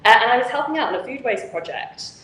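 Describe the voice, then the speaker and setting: A woman's voice: a little high, animated, fast, a bit bright. Chatty woman from Manchester on echoey call. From Manchester, England. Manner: frank, chatty, laughs often. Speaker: charity founder and guest. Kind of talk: conversational interview. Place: charity office with volunteer lockers. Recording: echoey room with faint noise.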